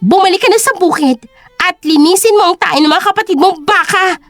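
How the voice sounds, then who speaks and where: A woman's voice: very high-pitched, a little fast, fairly bright tone, no echo, faint music behind, voice pushed up. A woman voicing a spiteful, haughty young sister-in-law in an audio drama, mocking and contemptuous. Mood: scornful.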